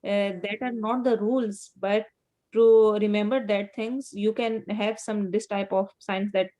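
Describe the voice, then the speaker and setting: A woman's voice: mid-range voice, fairly even intonation, a little slow, warm tone. A woman teaching a class on Quran recitation rules, explaining patiently step by step with memory tips. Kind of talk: online class instruction.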